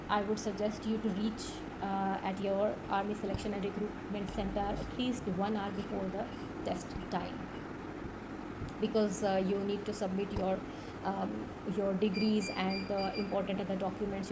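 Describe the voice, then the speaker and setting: A woman tutor speaking English with a Pakistani accent, her first language being Urdu. She talks earnestly and without hurry, pausing often on filler sounds, at a middling pitch. A clear layer of background noise sits under her voice. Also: level tone. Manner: earnest, unhurried, many filled pauses. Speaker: online course tutor. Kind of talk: direct-to-camera teaching talk.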